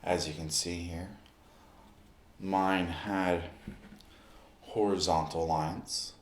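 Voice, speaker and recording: A man speaking in an ASMR roleplay, addressing the listener directly in short, unhurried phrases with pauses. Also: low-pitched, fairly even intonation, echoey room, slight background noise. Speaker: ASMR performer playing a basketball recovery expert.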